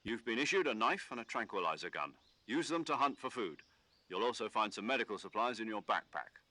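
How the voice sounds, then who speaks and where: An actor playing a calm, authoritative military commander, giving measured, scripted mission briefings in a video game. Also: male voice, lowish voice, no room sound. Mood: instructive.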